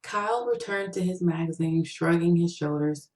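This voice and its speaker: A woman narrates a piece of short fiction as its author. Her voice sits low for a woman. The sound is roomy, with noticeable echo around the words. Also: normal speed, darkish tone. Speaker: author reading own story.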